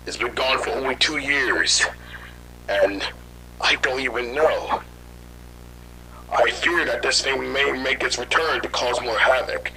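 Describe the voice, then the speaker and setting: A man playing a guilt-ridden scientist in hiding, telling his story haltingly in an amateur horror audio drama. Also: mid-range voice, pushed and bright, slight background noise, poor audio. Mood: fearful, remorseful. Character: guilt-ridden fugitive scientist father recounting his failed experiment.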